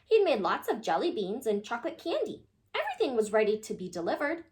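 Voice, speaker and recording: High-pitched, animated woman reading to kids. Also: fast. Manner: animated, expressive, exclaiming to young listeners. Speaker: storyteller reading aloud to children. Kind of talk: children's picture book read-aloud.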